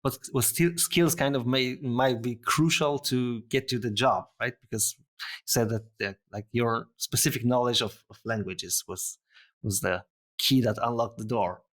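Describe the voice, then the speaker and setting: A man's voice: medium pitch, steady tone, moderate pace, a bit bright. A man hosting a casual interview, asking questions in a friendly, hesitant way with many fillers. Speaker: podcast host.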